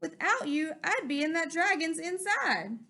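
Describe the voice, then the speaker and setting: An excitable, good-natured picture-book witch, put on by a woman reader. She exclaims theatrically in a very high voice, well above ordinary talk. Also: unhurried, heavily acted. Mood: grateful. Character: grateful, excitable storybook witch.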